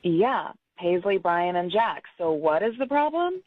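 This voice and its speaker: A woman's voice: on the low side, big pitch swings, a little slow, dry recording. A woman arguing over the telephone in an indignant, confrontational manner. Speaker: phone-in guest. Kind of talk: argumentative phone conversation. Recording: dull band-limited phone line.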